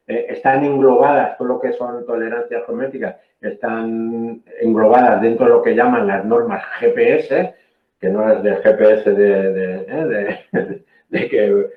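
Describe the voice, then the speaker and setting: A man's voice: fairly low pitch, reverberant, narrow, tinny audio. A man from Spain giving a technical training lecture, explaining patiently and often checking in with "¿eh?". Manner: explanatory, frequent "¿eh?" checks, self-corrections.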